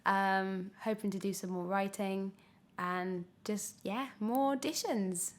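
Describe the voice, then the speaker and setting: A woman's voice: medium pitch, steady tone, slow. A young woman speaking candidly and casually as an interview guest, thinking aloud in a hesitant, reflective way. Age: young adult. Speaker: podcast guest, actress.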